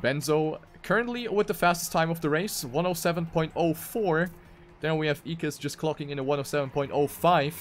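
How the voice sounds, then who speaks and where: A man's voice: fairly high pitch, brisk, faint music behind. A man giving live commentary on a racing video game as an esports caster.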